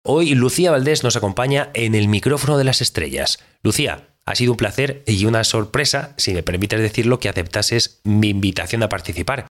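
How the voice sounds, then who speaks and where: A man's voice: on the low side, fairly quick, fairly bright tone, lightly acted. A man playing a direct, insistent radio interviewer in a scripted audio drama, speaking. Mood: cordial, direct. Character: direct, probing male radio interviewer with no-nonsense questions. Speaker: podcast host interviewing an astronaut. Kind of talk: scripted fictional interview show.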